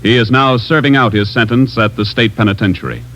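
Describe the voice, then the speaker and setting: A man working as a radio announcer who reads in an even, formal 1950s style. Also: on the low side, brisk. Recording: dull old radio broadcast with music.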